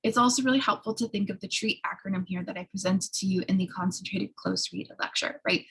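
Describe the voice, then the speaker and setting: A woman who sounds a little hoarse, giving an explanatory college writing lecture in an instructional way, often checking in with short tag questions. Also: medium pitch, quick pace. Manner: instructional, frequent checking tags like "right?". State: slightly hoarse.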